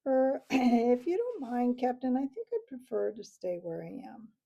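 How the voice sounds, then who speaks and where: Young male mouse hero, earnest and stubborn, a woman reading it; husky, slightly gravelly. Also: on the high side, animated, a little slow, highs cut off, pitched above the performer's own voice, heavily acted. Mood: polite. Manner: earnest, defiant, shouting challenges.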